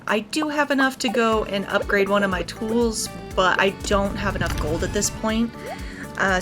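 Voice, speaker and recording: A woman hosting a casual let's play, explaining her plans step by step as she goes. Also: medium pitch. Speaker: gaming video host. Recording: music playing behind. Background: game music.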